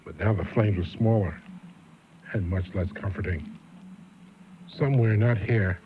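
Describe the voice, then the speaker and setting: A man narrating a Halloween radio drama as host, solemn, measured and ominous. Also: on the low side, noisy background, close to an everyday voice. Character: ominous campfire storytelling narrator of Halloween tale. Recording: muffled old radio with loud music.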